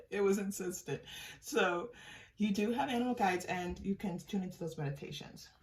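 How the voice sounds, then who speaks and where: Woman tarot reader, soft and musing, mid-pitched, a bit distant from the mic. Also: a little hiss or hum. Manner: unhurried, musing, often trails off and restarts; soft-spoken, gives thanks to unseen guides. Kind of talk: pick-a-card tarot reading.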